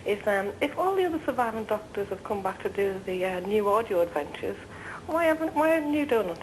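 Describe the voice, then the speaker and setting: A woman's voice: mid-range voice, sing-song, a little fast, low-quality recording. A woman with a mid-range voice calls in to a live television show. She speaks as an eager, gushing fan asking her questions. A faint hiss of noise runs behind her. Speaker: phone-in caller. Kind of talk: eager phone-in call.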